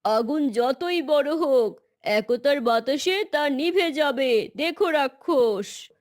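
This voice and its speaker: A woman's voice: sits high, lively intonation, slow, a little mellow. A woman voicing a loyal grown-up female bird in a cartoon, with an earnest manner. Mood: loyal, rallying. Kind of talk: cartoon acting.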